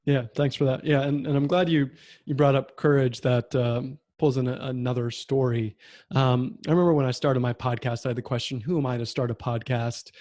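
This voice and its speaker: A man in his thirties, a podcast host, telling his own story in a reflective way with many pauses and fillers over a video call. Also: medium pitch, fairly even intonation, a little mellow, no room sound. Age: 30s. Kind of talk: conversational interview. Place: home room with bookshelf.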